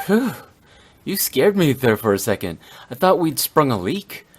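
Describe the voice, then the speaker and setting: Breezy, energetic young courier. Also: male voice, on the high side, measured pace, husky, full character voice. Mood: startled, relieved. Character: upbeat, friendly young male delivery courier. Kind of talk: video game voice acting.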